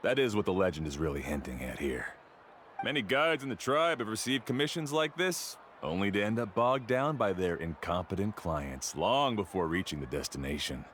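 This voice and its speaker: Arrogant game guide, lowish voice, very animated, scornful, bit of noise behind. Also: male voice, normal speed. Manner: haughty, scornful. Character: arrogant, dismissive, mercenary male island guide. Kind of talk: video game voice acting.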